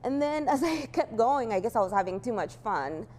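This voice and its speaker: A woman's voice: on the high side, big pitch swings, moderate pace, a little mellow, slight background noise. A woman born in Uganda, talking casually about her artwork in a rambling way, with many "you know" fillers and easy laughs. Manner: laughs easily. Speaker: artist presenting her work.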